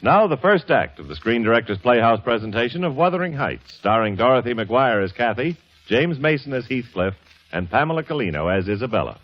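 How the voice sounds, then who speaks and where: An American man works as a vintage radio announcer, reading scripted copy with polish and emphasis. His pitch moves in lively sweeps, and the old broadcast recording sounds dull. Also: a little fast, over loud music. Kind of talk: 1940s-50s radio announcing, scripted sponsor reads.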